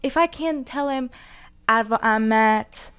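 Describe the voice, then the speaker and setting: A woman co-hosting a casual chat show, giggly and animated, with many fillers. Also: fairly high pitch, measured pace, smooth. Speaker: co-host.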